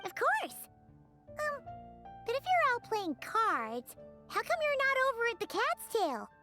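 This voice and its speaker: Excitable small child girl, very high, animated, game cutscene. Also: measured pace, soft background music, exaggerated voice. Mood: excited. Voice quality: bubbly, excitable. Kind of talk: game voice acting.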